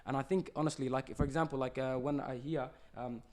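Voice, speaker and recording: Earnest, fast-talking Australian man. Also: medium pitch, fairly even intonation, a little hiss or hum. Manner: earnest, persuasive, builds arguments. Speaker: podcast host. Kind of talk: casual chat.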